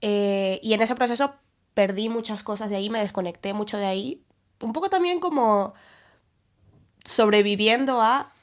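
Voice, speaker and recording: A young woman from Venezuela with a Venezuelan Spanish accent, talking through her thoughts in a relaxed podcast chat, with pauses and restarts. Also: medium pitch. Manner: reflective, thinks aloud with fillers and restarts. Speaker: podcast guest. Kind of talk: casual conversation.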